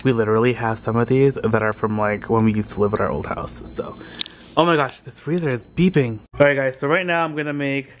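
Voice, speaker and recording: A young man in his twenties talks casually to a camera he holds at arm's length, giving a home tour in an easy, chatty way. He laughs often and gets excitable, at a middle pitch, with faint room noise behind him. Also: fairly quick. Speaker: vlogger. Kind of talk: casual vlog chat. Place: home kitchen and bedroom. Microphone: handheld camera at arm's length.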